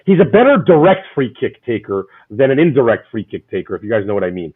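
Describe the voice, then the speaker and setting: A man hosting a casual fan chat, loud and rambling, often repeating his words. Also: medium pitch, big pitch swings, quick pace, slightly rough, narrow, tinny audio. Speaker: podcast host.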